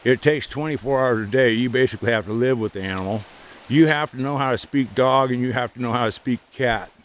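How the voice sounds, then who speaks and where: A man in a mid-pitched voice chats loosely and meanders from thought to thought, recorded on a handheld camera outdoors beside a creek. Faint outdoor noise sits under his voice. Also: fairly quick. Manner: talks to his dog between asides, chatty and meandering. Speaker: service dog trainer and vlogger. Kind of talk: rambling outdoor vlog chat.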